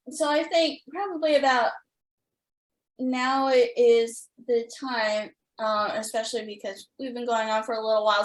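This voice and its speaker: Woman podcast host chatting, slow n drawn out, echoey room. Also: fairly high pitch. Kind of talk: casual chat. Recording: roomy, echoey sound.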